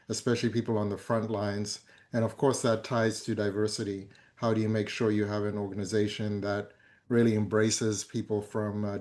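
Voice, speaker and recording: A man in his fifties, from Zambia, speaks in a low voice that barely rises or falls. He talks as a conference guest in a fireside chat, measured and pausing often on fillers. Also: darkish tone. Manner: measured, with many hesitant fillers. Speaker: board member, guest speaker.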